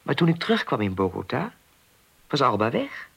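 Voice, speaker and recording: A man's voice: mid-range voice, very animated, normal speed, gravelly, fairly bright tone, breathy edge, full character voice. An actor playing an earnest, naive, lonely man in a naturalistic radio play, talking in a hesitant, rambling, plain-spoken way. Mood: earnest, wistful. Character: earnest, naive, lonely man worried about a missing woman.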